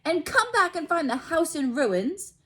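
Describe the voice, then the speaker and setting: A woman voicing a doting, anxious storybook mother, by turns cooing and sharp. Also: very high voice, very animated, unhurried, pitched above the performer's own voice, heavily acted. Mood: snappish. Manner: cooing to her son, sharp with others.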